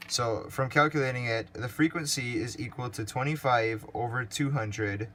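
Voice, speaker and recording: A teenage boy slowly explaining worked physics calculations step by step for a school project. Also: mid-range voice, fairly bright tone, slight background noise. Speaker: student presenter. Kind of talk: read-aloud worked calculations.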